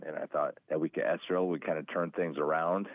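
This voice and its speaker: An American man, a high school football coach, giving a plainspoken, halting interview on a pregame radio show. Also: steady tone, dry recording, narrow, tinny audio.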